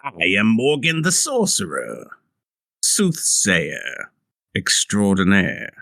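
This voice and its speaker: A man's voice: fairly low pitch, wildly swooping pitch, slow, creaky, fairly bright tone, no echo, clean studio sound. A man playing a tabletop game, upbeat as he calls out his dice rolls. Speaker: player.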